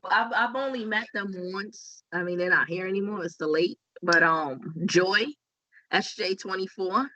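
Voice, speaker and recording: A man's voice: high voice, measured pace, pushed and bright, no echo. A man from Staten Island, chatting loosely as a podcast guest and restarting his sentences often. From Staten Island, New York. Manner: loose, rambling, many restarts. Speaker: guest rider. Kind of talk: casual podcast conversation. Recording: dull lo-fi sound.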